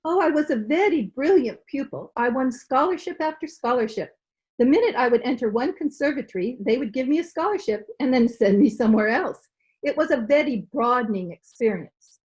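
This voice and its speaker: Radio comedy grande dame, played by a woman: high, swooping, pompous and affected. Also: lively intonation, brisk, a little mellow, rough recording. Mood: pompous, amused. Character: gushing, pretentious high-society lady. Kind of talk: old-time radio comedy acting. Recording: home video-call recording.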